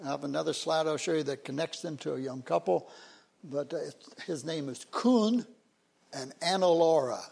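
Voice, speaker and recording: Folksy older man with a gravelly voice. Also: on the high side, moderate pace, a little mellow. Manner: folksy, conversational, with frequent "uh" fillers. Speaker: missions speaker at a church. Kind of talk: informal church talk introducing missionaries on slides.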